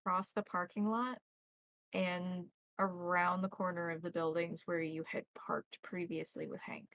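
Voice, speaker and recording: A woman's voice: on the low side, flat delivery, moderate pace, fairly bright tone, no echo. A woman running a tabletop roleplaying game as game master, narrating scenes and calling for rolls.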